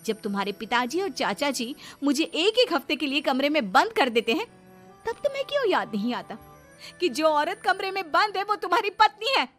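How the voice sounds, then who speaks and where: A woman's voice: sits high, very animated, moderate pace, fairly bright tone, soft background music, voice pushed up. A woman voicing an outspoken, indignant young wife in a cartoon story, argumentative and sarcastic. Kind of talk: Hindi cartoon story dialogue.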